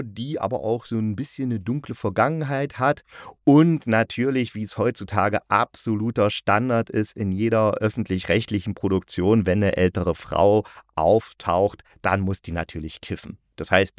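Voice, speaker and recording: Low-voiced man podcasting, dry sound. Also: moderate pace, no echo. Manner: wry, dry ironic asides. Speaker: podcast host reviewing audio dramas. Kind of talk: casual solo podcast talk.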